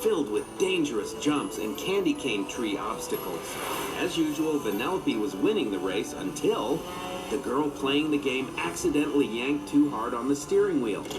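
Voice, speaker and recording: A male narrator reads a children's story expressively. His medium-pitched voice moves up and down in a lively way, with music playing behind him. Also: moderate pace, poor audio.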